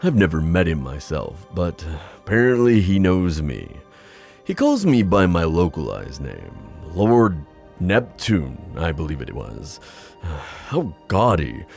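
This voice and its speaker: A boastful, playful sea god, acted by a man in a fantasy audio roleplay. The voice is low and gravelly, with theatrical, drawn-out phrasing that takes its time. Faint music plays underneath as he teases and schemes. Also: huge pitch swings, a little mellow, full character voice. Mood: amused, confiding. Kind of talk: ASMR fantasy roleplay acting.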